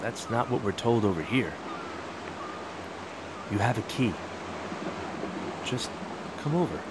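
Man acting a secretive, low-voiced man over loud rushing noise. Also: lively intonation. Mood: guarded, confiding. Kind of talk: one-act audio play acting. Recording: loud noise bed under the voice.

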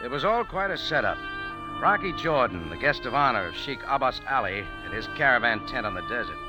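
An actor playing a hard-boiled wisecracking cafe owner. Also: male voice, medium pitch, big pitch swings, brisk, music in the background, natural voice, poor audio. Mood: narrating. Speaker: lead and narrator. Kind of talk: 1940s radio acting.

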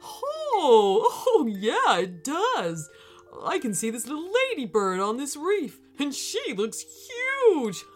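Woman voicing a big soft-hearted storybook crocodile, high and emotional. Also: huge pitch swings, slow pace, faint music behind, exaggerated voice. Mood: delighted wonder. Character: big, tearful, soft-hearted male crocodile, easily overjoyed. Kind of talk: children's storybook character voice.

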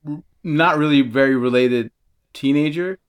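A man performing an improv comedy scene with a dry, deadpan delivery. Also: fairly high pitch, normal speed, clean tone.

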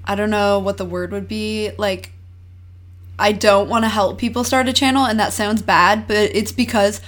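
A young woman in her twenties talks as a podcast guest in a medium-pitched voice. She keeps things laid-back with a dry sense of humor, chatting casually in a hotel room. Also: fairly even intonation, normal speed. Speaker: guest, video creator. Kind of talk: casual interview chat.

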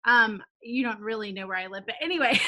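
A woman, hosting a ministry podcast in a casual chat, laughing often and filling pauses with "um" and "like.". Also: fairly high pitch, expressive, sharp tone. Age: 30s. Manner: bubbly, laughs often, fillers like "um" and "like". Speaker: podcast host, founder of a girls' ministry. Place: home, talking over a video call.

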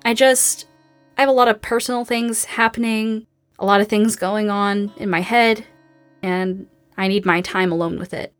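An actress playing a guarded, wry and eager young survivor in an audio drama. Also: female voice, medium pitch, fairly even intonation, moderate pace, dry recording, soft background music, natural voice. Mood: guarded, apologetic.

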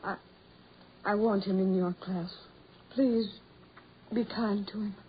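An anxious mother, played by a woman, speaks slowly and haltingly, pleading softly. The sound is muffled and old, with a faint hiss behind her. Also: medium pitch, steady tone, lightly acted. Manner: hesitant, pleading. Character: anxious mother of a disfigured boy. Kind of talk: 1940s radio acting. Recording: muffled 1940s radio with hiss.

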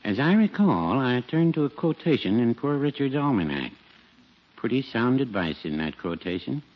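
An actor playing a mild, hesitant, folksy older small-town druggist in a 1940s radio comedy. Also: male voice, medium pitch, big pitch swings, normal speed. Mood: musing, folksy. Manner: hesitant, contradicts himself, mild. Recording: noisy, dull 1940s radio recording.

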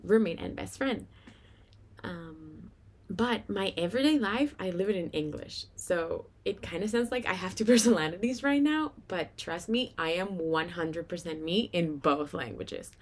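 Young female podcast host, native Spanish speaker with Venezuelan accent, lowish voice, chatty and informal solo delivery. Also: animated, a little slow. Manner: chatty, informal, giggles often while talking. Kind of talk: casual solo podcast monologue.

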